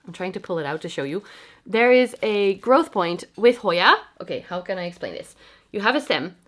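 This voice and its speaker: Woman, young adult, stuffy cold voice, casual home vlog, mid-pitched, chatty and explanatory. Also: expressive, normal speed, fairly bright tone. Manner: chatty, self-deprecating, explains plant care. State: congested from a cold. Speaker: plant vlogger. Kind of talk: casual talk to camera. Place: home, indoors.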